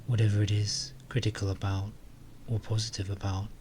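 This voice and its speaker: A man guides a meditation in a fairly low, very even voice. He speaks slowly and softly, pausing often as he gives calm instructions. There is some noise in the recording. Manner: calm, hesitant, unhurried phrasing with long pauses.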